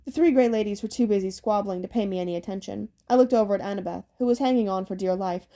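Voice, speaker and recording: A woman's voice: mid-range voice, fast talker, dark tone. A woman reading a scripted story aloud as an audiobook narrator.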